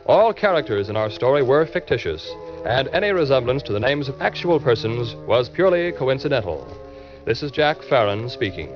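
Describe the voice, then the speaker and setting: Lively male announcer over music. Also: medium pitch, normal speed. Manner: formal, polished. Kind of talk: 1940s radio announcing, scripted credits. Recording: dull old radio recording, loud music.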